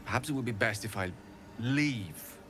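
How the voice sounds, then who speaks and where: An actor playing a learned, earnest wizard in a fantasy video game, speaking in florid, measured, dramatic phrases. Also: male voice, medium pitch, expressive, fairly bright tone, a little hiss or hum, close to an everyday voice. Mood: apologetic. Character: learned male wizard, eloquent, theatrical, earnestly remorseful.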